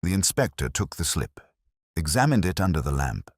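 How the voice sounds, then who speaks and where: A man narrating a mystery story in a measured, literary manner. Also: extremely low pitch, big pitch swings, no echo, studio quality.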